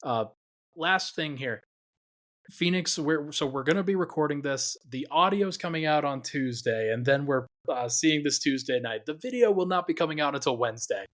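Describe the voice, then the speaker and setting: A man hosting a basketball podcast, talking in a relaxed way and thinking aloud with lots of fillers. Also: fairly high pitch, moderate pace. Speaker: podcast host. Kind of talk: casual basketball podcast chat.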